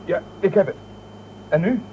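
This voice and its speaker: A man's voice: high voice, moderate pace, warm tone, slight background noise, natural voice, poor audio. An actor playing a wry, authoritative man in command aboard a spaceship in a Dutch science fiction radio drama. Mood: wry, grim.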